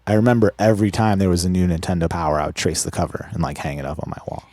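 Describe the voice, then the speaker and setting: A young man from Israel, a guest musician telling his story in a relaxed, unhurried way, with plenty of fillers. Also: low voice. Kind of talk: casual interview.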